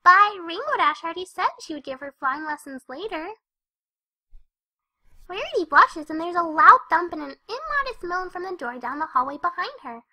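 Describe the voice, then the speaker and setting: A woman's voice: very high-pitched, sing-song, moderate pace, pushed and bright, full character voice. A woman voicing a hyper, cheerful young female cartoon pony who narrates her story in a chatty, scatterbrained way. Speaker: first-person narrator of a fan fiction reading. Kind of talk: animated character narration.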